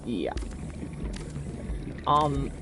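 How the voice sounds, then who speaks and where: A man's voice: medium pitch, big pitch swings, extremely slow. Male game streamer, loose chatty delivery, trips over words, faint music under him. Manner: stammers and repeats words, lots of fillers. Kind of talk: casual live-stream chat with party friends.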